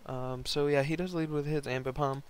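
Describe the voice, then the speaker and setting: A young man with a hoarse, worn voice giving casual, rambling, slangy commentary on an online game battle. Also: on the high side, steady tone, moderate pace. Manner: rambling, slangy, laid-back. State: hoarse, voice partly lost from concert the night before.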